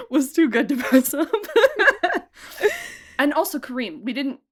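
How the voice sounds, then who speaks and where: A woman's voice: high voice, very animated, takes it slowly. A young woman who produces and directs, chatting in a podcast interview, laughing often and talking with lots of fillers and restarts.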